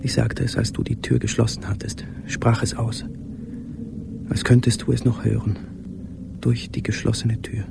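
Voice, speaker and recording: An actor playing a tormented, lonely man who confesses haltingly in an intimate radio play monologue, often sinking toward a whisper. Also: mid-range voice, little pitch movement, creaky, noisy background. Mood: anguished, brooding.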